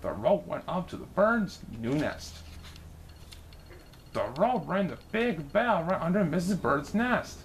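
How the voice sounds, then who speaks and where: Female children's story reader, unhurried and very lively in intonation, slight background noise. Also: on the low side. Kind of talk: expressive children's story narration.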